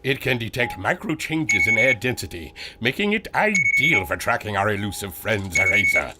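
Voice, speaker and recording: A man's voice: fairly low pitch, sing-song, moderate pace, very noisy. A man playing an earnest, proud and polite scientist-inventor with a German accent, in old radio serial style. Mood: proud explaining. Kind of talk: 1940s radio serial acting.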